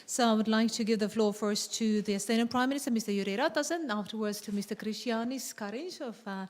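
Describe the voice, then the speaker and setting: A woman moderating and interpreting at a press conference, rendering statements smoothly into English. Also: a little high, quick pace. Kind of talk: consecutive interpreting.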